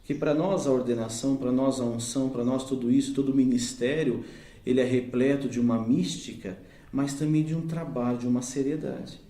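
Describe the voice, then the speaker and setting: A man's voice: mid-range voice, fairly even intonation, a little fast, warm tone, roomy sound. A Brazilian man in his thirties, a deacon, giving an earnest, reflective personal faith testimony. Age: 30s.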